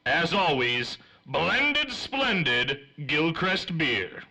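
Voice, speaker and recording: A man's voice: a little high, sing-song, very slow, rough recording. A man announcing a scripted 1930s radio programme in a formal, showy sponsor-read manner.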